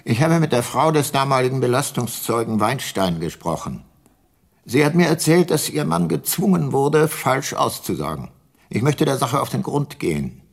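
An actor playing a weary, guilt-laden ageing private detective and former prosecutor in a radio play, sober and reflective. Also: male voice, mid-range voice, very animated, moderate pace, no echo, clean studio sound. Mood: probing. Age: older. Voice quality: husky. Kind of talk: first-person radio play narration and dialogue.

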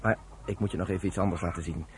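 An actor playing a steady, affectionate young farm son in a radio drama, earnest and reassuring. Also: male voice, low voice, rapid-fire, lightly acted. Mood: proud, earnest. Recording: noisy old radio play with farm animal sounds.

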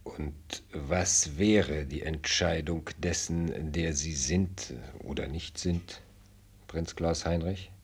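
An actor playing a shrewd, blunt minister of state in a German radio play, husky, with formal, rhetorical courtly speech. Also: male voice, extremely low pitch, steady tone, slow pace, full character voice. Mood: dry, ironic.

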